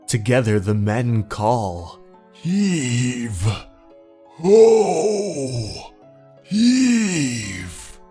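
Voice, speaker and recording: A man reading a horror tale aloud in a low, unhurried voice, measured and dramatic. Faint music plays underneath his narration. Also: darkish tone.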